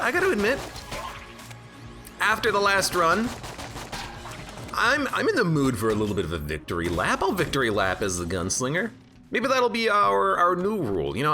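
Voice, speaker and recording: A man casually commenting on a video game and chatting with viewers, stuffed up from allergies and rambling with jokes at his own expense, game music and gunfire playing behind him. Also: medium pitch. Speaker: game streamer. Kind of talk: casual let's-play commentary. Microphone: own desk microphone.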